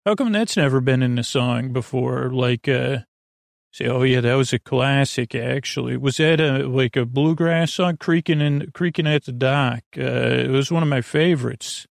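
Creaky-voiced man, lulling bedtime rambler. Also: mid-range voice, no echo, studio quality. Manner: meandering, halting, full of tangents and self-corrections; lulling, soothing. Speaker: podcast host.

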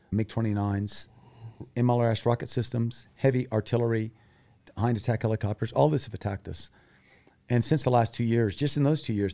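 Man, guest, low pitch, dark mellow tone, intense emotional recounting. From grew up in Thailand. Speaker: guest, humanitarian group founder. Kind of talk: conversational interview talk.

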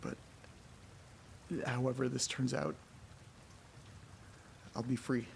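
An actor in a horror audio drama playing a weary, desperate man who records himself at home, muttering in halting, wry, sweary monologue. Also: mid-range voice, monotone, gravelly, darkish tone, a little hiss or hum. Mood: somber, resigned.

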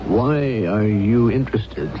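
Composed doctor, low voice, controlled and questioning, for an old radio mystery, noisy sound. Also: male voice, lively intonation, slow pace. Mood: probing. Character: composed, probing male doctor, measured and suspicious. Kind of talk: 1970s radio drama acting. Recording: noisy, dull 1970s radio broadcast.

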